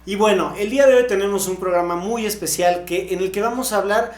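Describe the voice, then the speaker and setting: A man's voice: high-pitched, fairly quick. A man hosting a podcast, introducing the show and reading short news items. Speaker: podcast host. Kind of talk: show presenting, scripted news briefs.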